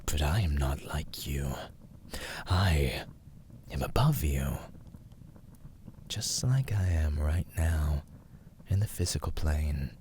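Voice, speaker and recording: A man playing an arrogant, teasing villain warlord who craves control, in a theatrical ASMR roleplay, with breathy, mocking, drawn-out delivery. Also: rumbling low, darkish tone, a little hiss or hum, heavily acted. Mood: menacing, taunting. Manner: haughty, languid, mocking, drawn-out words.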